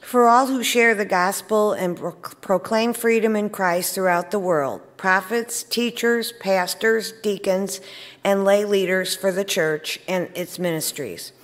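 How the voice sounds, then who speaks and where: A woman serving as lay reader at the lectern of a large church sanctuary, reading intercessory prayers at a clear, even pace. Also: mid-range voice, a little slow. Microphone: lectern mic, far camera. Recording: long church reverb.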